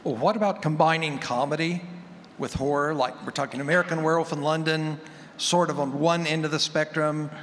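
A man casually asking a question as an audience member, a little halting. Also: fairly high pitch, fairly even intonation, a bit bright. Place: large convention room. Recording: echoey hall, background noise.

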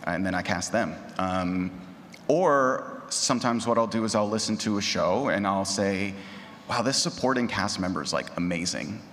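A man's voice: lowish voice, expressive, normal speed, fairly bright tone. A man talking casually and conversationally as a panelist at a convention. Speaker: panelist, audio drama creator. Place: large indoor convention panel room. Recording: reverberant noisy conference room.

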